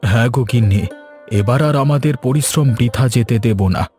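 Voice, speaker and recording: A man's voice: mid-range voice, normal speed, a little mellow, soft background music, natural voice. A man voicing an earnest, grateful middle-aged village farmer in a cartoon. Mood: earnest relieved. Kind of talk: cartoon voice acting.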